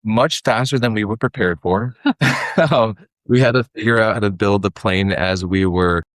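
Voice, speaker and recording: A man with a deep voice talks as a guest in an interview. He tells his story casually and informally, acting out bits of remembered dialogue, with a very dry sound. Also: dry recording. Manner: informal, peppered with "like" and quoted dialogue. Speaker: podcast guest, actor and audio drama producer.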